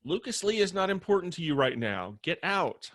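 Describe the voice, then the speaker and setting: Man acting witty bossy male roommate, arch, teasing, very expressive pitch, comedy script read. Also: moderate pace, full character voice. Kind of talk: table read of a comedy screenplay.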